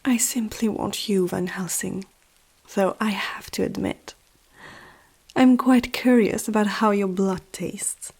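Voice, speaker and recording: A woman playing a powerful, seductive, possessive vampire aristocrat in an ASMR roleplay, speaking softly and often whispering, with a teasing, regal menace. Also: mid-range voice. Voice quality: soft, breathy, often dropping to a whisper. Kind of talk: ASMR roleplay, intimate slow scripted monologue.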